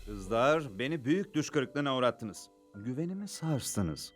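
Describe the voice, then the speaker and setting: A kindly middle-aged cartoon scientist, earnest and fatherly. His pitch swoops widely as he lectures. A loud score sits under the dialogue. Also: sing-song, takes it slowly, music in the background. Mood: lecturing. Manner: earnest lecturing, turns flustered. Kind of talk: cartoon dub acting.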